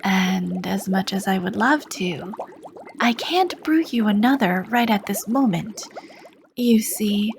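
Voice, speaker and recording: A woman voicing a charming, sly female potion master as a shopkeeper in an ASMR roleplay, speaking softly and flirtatiously and often dropping into whispers. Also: mid-range voice, expressive, slow, vocal fry, slight background noise. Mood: soft flirty. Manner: soft, flirty, drifts into whispers.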